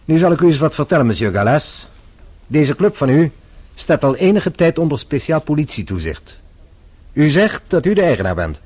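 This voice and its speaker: A shrewd, composed police inspector. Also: male voice, a little high, sing-song, darkish tone, husky. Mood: stern, probing. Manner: formal, insistent, measured. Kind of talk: radio play acting.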